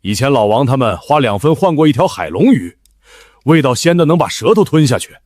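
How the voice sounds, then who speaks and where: A man playing a blunt, hearty, chatty team veteran in an animated web drama, using slangy speech. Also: medium pitch, brisk. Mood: hearty, teasing. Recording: background music under dialogue.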